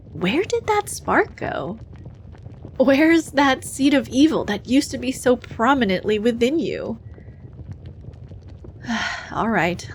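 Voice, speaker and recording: A woman playing a brisk, bureaucratic female demon interviewing souls in hell, officious and dryly condescending, in a scripted ASMR roleplay. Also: a little high, a little hiss or hum, poor audio.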